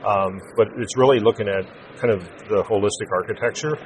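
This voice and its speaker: A low-pitched man answers interview questions in a measured, corporate way. He speaks off the cuff, explaining things step by step. There is clear urban background noise around him. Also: poor audio. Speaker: interview guest, telecom sales executive. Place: busy naval trade show event.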